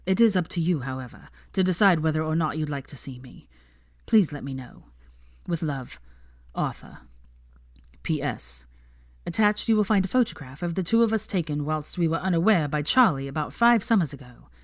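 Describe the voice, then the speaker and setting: Woman narrator reading fanfic, low voice, calm and measured, dry close mic. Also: no room sound. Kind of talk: audiobook-style fanfiction reading.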